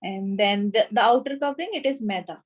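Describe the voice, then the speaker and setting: A woman in her thirties with an Indian accent, teaching an informal online class in a halting way, checking in as she goes. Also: mid-range voice. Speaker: anatomy teacher at a yoga school. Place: small room with a whiteboard, indoors. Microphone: device camera a short distance away, video call.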